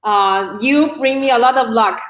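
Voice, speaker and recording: A woman's voice: a little high, unhurried. Chinese woman guest in an echoey room. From China. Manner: soft-spoken, hesitant with fillers. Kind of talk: casual interview.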